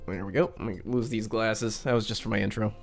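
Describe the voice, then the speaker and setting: A young man from Western New York hosting a casual live stream, a little raspy and congested from a cold, chatty and reading out the chat. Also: lowish voice, steady tone, brisk, faint music behind. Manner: chatty, reads out chat with friendly asides.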